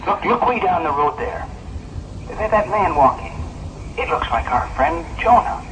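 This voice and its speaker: Man acting excitable, very high-pitched friend. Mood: eager. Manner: quick, excitable, calling out. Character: eager male friend hailing someone down the road. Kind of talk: 1960s biblical audio drama acting. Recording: noisy old 1960s tape.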